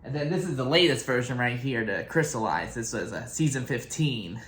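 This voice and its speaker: A young adult man giving casual, rambling fan commentary as a video host, with a halting delivery. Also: medium pitch, animated, a bit bright. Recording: echoey room.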